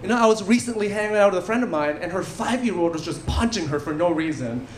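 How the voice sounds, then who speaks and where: A man's voice: high voice, lively intonation, quick pace. A man in his thirties from Canada doing a stand-up set into a handheld mic on a theatre stage, punchy with dry asides. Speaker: stand-up comedian. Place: theatre stage before a live audience. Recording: live club recording with audience noise. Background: audience laughter.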